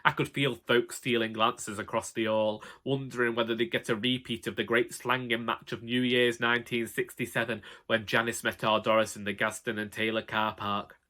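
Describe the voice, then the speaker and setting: A man's voice: medium pitch, little pitch movement, moderate pace, hardly any pauses, pushed and bright. A man with a Northern English accent narrating a comic first-person story in a wry, colloquial way. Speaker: audiobook narrator.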